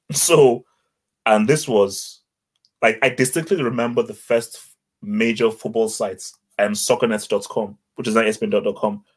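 A man from Nigeria with a Nigerian accent, co-hosting a casual football chat, blunt and animated, often repeating words as he gets going. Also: fairly low pitch, a little slow. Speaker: football podcast co-host.

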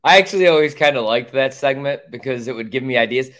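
A man's voice: mid-range voice, fast talker, fairly bright tone. Blunt, profane sports podcast man. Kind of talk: casual sports chat.

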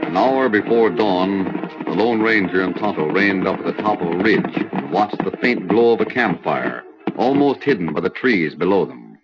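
Deep solemn western narrator, old muffled radio, music playing under him. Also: male voice, expressive, normal speed.

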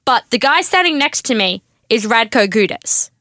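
A woman's voice: fairly high pitch, sing-song, moderate pace, a bit bright, dry recording, clean studio sound. A woman in her twenties from Australia with an Australian accent, telling chatty stories as a podcast guest host, full of "like" and "um". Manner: chatty, storytelling, many fillers like "like" and "um". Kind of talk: casual podcast chat.

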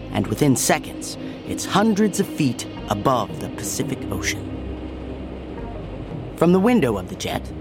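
Male narrator for fiction podcast. Medium pitch, measured deliberate phrasing, music bed underneath. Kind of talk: scripted audio drama narration.

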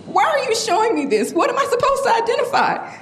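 High-pitched, distraught young girlfriend in grief. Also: big pitch swings, quick pace, a bit bright, heavily acted. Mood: anguished disbelief. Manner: distressed, pleading, blunt. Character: grieving, tough young woman, shaken by her boyfriend's death. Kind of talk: full-cast audio drama acting. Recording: roomy echo, faint background noise.

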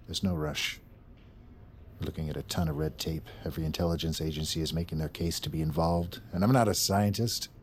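An actor playing a curt, impatient male government boss with a husky voice. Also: rumbling low, steady tone, fairly quick, darkish tone, slight background noise. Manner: curt, dismissive, swears. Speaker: senior federal official.

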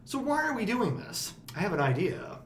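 Loud, projecting man in a roomy echo. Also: high-pitched, sing-song, normal speed. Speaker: podcast host, energy policy advocate. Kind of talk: casual policy discussion. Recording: roomy echo, faint background noise.

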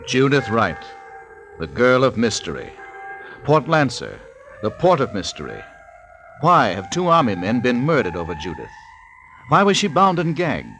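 A man's voice: mid-range voice, huge pitch swings, normal speed, long pauses, fairly bright tone, faint music behind. A man announcing a radio serial with dramatic, teasing questions.

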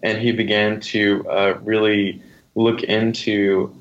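A man speaking as a guest author in an interview, answering conversationally in a thoughtful way with hesitant pauses. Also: on the low side, flat delivery, a little hiss or hum. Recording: dull lo-fi sound.

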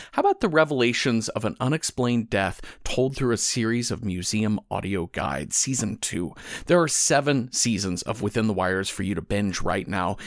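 A man's voice: lowish voice, no echo, clean studio sound. A man reading a conversational scripted promo for his own podcast.

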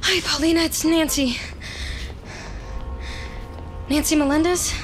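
A woman's voice: sits high, fairly even intonation, close to an everyday voice. An actress playing a lonely, overworked New York woman in an audio drama, cynical and awkward. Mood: flustered, apologetic. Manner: wry when narrating, halting and flustered with others.

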